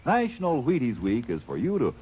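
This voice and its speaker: A man's voice: mid-range voice, wildly swooping pitch, brisk, dark tone. A man announcing a radio sponsor message in a chatty, persuasive way. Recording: old radio broadcast, faint hiss.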